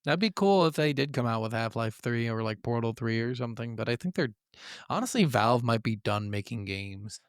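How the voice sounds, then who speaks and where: A man's voice: mid-range voice, animated, brisk, smooth, no echo, studio quality. A man co-hosting a gaming podcast in a casual, informal way. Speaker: podcast co-host.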